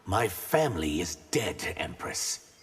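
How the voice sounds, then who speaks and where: An actor playing an earnest reptilian shapeshifter warrior who speaks formally as a royal emissary in a fighting game. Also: male voice, low-pitched, very animated, slow pace, husky, long reverb tail, full character voice. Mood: somber. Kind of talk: video game fight banter acting.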